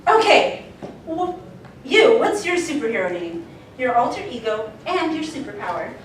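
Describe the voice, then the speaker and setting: A woman acts out a high-voiced, expressive schoolteacher, sweetly patronizing and quick to exasperation. Her pitch swoops in comic fashion. The sound is distant, in a big echoey hall. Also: slight background noise. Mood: encouraging, brisk. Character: bubbly but exasperated young superhero schoolteacher. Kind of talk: live scripted comedy table read.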